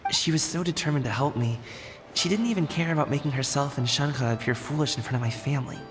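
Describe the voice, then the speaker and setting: A man's voice: mid-range voice, quick pace, natural voice. An actor playing an earnest, humble young man in a game, with music underneath. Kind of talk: game voice acting. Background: game background music.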